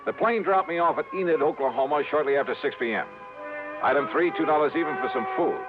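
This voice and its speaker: An actor playing a tough, wry insurance investigator in a radio noir drama, brisk and hard-boiled, with an American accent. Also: male voice, on the high side, music in the background, lightly acted. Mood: dry narration. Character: wry, tough freelance insurance investigator narrating his case. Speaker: lead detective and narrator. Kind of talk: 1950s radio noir acting. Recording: tinny, noisy old radio recording.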